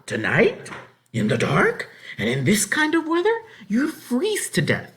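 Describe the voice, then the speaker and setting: A man's voice: very high-pitched, huge pitch swings, measured pace, a bit bright, slight background noise, voice pushed up, exaggerated voice. A strong-willed farmer's wife from a storybook, acted out by a man, speaks in a raised, swooping voice. She is bossy and exasperated, issuing orders and exclamations as if nobody has any sense. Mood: incredulous. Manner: commanding, exclaiming.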